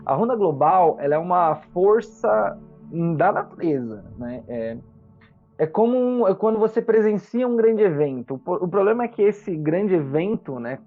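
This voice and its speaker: A man with a Brazilian accent and a slightly high voice narrates a tabletop fantasy game as its game master. He describes the scene in a conversational way. The audio is a little dull, with soft music behind him. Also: expressive, normal speed. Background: background music.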